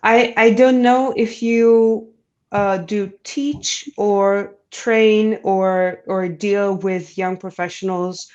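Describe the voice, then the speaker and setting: Woman moderator, relaxed conversational questions, slightly dull lo-fi audio. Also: mid-range voice, takes it slowly. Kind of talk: panel discussion.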